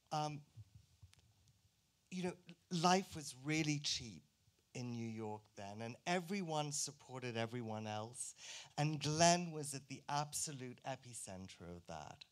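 A man's voice: mid-range voice, lively intonation, measured pace. Reflective man in his sixties. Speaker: panelist. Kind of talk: reflective reminiscence. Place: museum auditorium stage. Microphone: handheld microphone.

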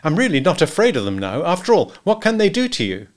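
A man voicing an eager, excitable male safari client with boyish enthusiasm. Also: on the high side, fast, pitched above the performer's own voice. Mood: elated.

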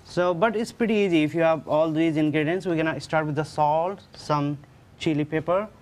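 A man's voice: on the high side, brisk. A man in his thirties, a chef, walking through a recipe in non-native English with broken grammar, recorded on a studio kitchen set with a lapel mic. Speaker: restaurant chef. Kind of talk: cooking demonstration on a TV show.

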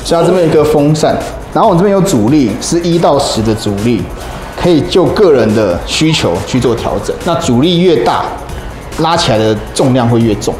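A man, giving a brisk, step-by-step demonstration of a rowing machine in a small office gym. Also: fairly high pitch, lively intonation, darkish tone. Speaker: fitness equipment instructor. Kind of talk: instructional demonstration. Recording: roomy room with background music.

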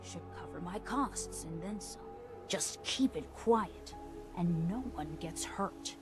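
A curt, guarded smuggler. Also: female voice, on the low side, slow pace, music in the background. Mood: guarded, businesslike. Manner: curt, businesslike. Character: guarded, tough woman smuggler scavenging wrecks. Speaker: game character. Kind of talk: video game voice acting.

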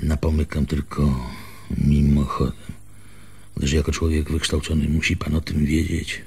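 An actor in a radio play as a pompous, officious male village schoolteacher, speaking formally and pedantically in a husky voice. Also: extremely low pitch, little pitch movement, a little slow, darkish tone, exaggerated voice. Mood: officious, stern. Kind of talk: Polish radio theatre acting.

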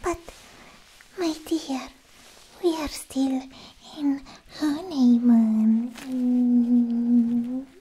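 A young woman playing a sweetly possessive, obsessed alien girlfriend in a whispered, coaxing ASMR roleplay, speaking close to the mic in halting phrases. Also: fairly high pitch, steady tone, dark tone, exaggerated voice, poor audio. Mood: pleading, coy. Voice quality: breathy, mostly whispered. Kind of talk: soft-spoken ASMR roleplay. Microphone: close ASMR microphone.

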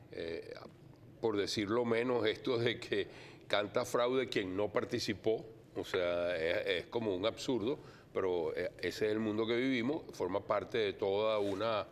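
Earnest older man, low voice. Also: a little hiss or hum. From Venezuela. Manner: earnest, deliberate, many fillers. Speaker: guest. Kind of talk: TV studio interview. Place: TV studio.

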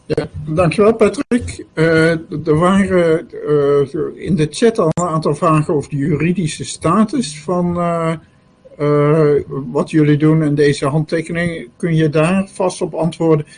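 An older man moderating a question session on a video call, putting brisk technical questions. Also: fairly high pitch, darkish tone, rough recording. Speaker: meetup moderator. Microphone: webcam on video call.

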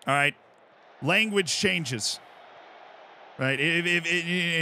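An American man streaming casually while he plays, explaining things in an animated, rambling way and often checking in with "right?". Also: fairly high pitch. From United States. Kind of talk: casual chat while playing.

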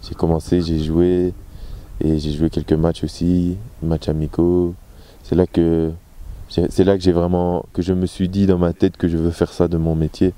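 Very dark, low-voiced young man. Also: little pitch movement, normal speed. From Belgium. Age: 20s. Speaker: footballer being interviewed. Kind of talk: casual interview answers. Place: open-air football pitch. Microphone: handheld microphone.

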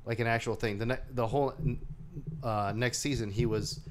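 The guy on a movie podcast who haltingly and wryly walks his co-host through a film's story. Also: medium pitch, fairly even intonation, slight background noise. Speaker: co-host of a movie discussion show. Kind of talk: casual chat, recapping a film.

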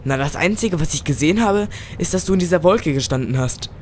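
A man's voice: a little high, animated, a little fast, slight background noise, close to an everyday voice. A man playing a concerned, familiar friend in an audio drama. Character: concerned male friend of the heroine. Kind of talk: German audio drama acting.

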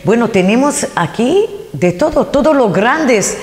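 A woman's voice: a little high, animated, a little slow, a little mellow. Effusive female TV co-host, foreign accent. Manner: effusive, gushing compliments. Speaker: TV show co-host. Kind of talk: TV interview hosting. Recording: echoey hall with long reverb.

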